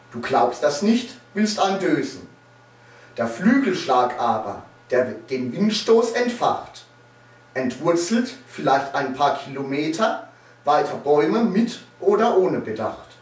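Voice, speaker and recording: Slow, echoey man reciting poetry, high-pitched. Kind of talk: dramatic poetry recitation, satirical. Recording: roomy echo, distant microphone.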